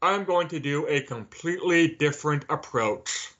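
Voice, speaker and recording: A man answering as an interview guest, measured and wry in his manner. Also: fairly high pitch, fairly bright tone, lo-fi sound. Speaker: author, podcast guest.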